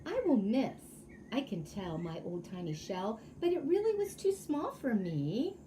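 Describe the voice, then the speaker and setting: An excitable young boy hermit crab from a children's storybook , acted out by a woman reading aloud. The delivery is sing-song and earnest, swinging widely in pitch at an unhurried pace, with faint noise behind. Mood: delighted, proud.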